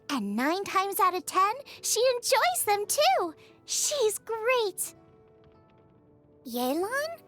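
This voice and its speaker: A woman voicing a bubbly, eager teenage girl chef in scripted video game lines, perky, earnest and expressive. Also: very high voice, exaggerated voice. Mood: admiring.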